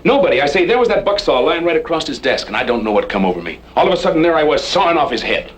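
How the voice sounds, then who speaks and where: An actor playing a joking, evasive outlaw cowboy with a folksy, sardonic manner, in an old radio western. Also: male voice, fairly high pitch, big pitch swings, racing. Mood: wry, teasing. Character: cocky, joking outlaw cattle rustler, cowboy drawl. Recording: noisy old radio drama recording.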